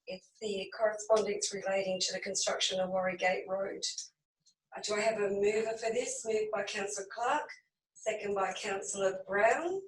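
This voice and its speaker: A woman's voice: medium pitch, fairly even intonation, a little hiss or hum. Australian woman chairing from a distant mic. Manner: halting, procedural, with fillers. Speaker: mayor chairing a council meeting. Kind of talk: formal meeting procedure. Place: council chamber. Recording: echoey room, far from the mic.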